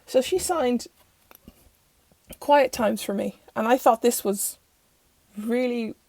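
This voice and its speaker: A young Irish woman with a croaky voice from recent illness, chatting rambly through a casual review in her bedroom. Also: a little high, slow pace, a little mellow, dry recording. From Ireland. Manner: chatty and rambling, self-interrupting. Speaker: tarot channel host. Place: bedroom at home.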